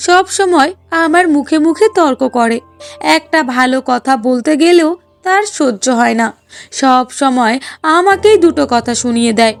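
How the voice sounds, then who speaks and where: A woman's voice: high-pitched, lively intonation, normal speed, soft background music. A woman voicing a spiteful, whiny young sister-in-law in a cartoon, scolding and demanding. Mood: indignant, complaining. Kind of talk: Bengali cartoon dialogue.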